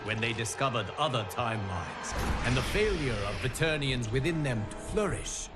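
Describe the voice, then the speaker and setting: A man's voice: mid-range voice, lightly acted. A man voicing a grave timeline guardian. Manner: grave, measured storytelling. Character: solemn godlike male guardian of timelines. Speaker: narrator. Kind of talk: scripted game cutscene narration.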